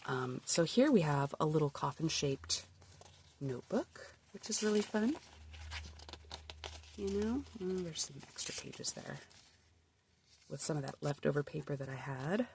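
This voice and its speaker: A woman's voice: sits low, gravelly, a little mellow, a little hiss or hum. A woman with a cold, chatting casually as she flips through her handmade journal, bubbly and often dropping to a murmur. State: sniffly from a cold. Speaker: crafter showing a handmade journal.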